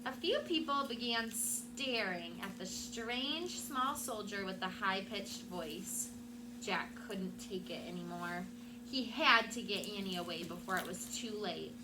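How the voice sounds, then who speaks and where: A woman teacher doing an expressive storybook read-aloud for her class. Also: mid-range voice, unhurried. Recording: clear background room noise.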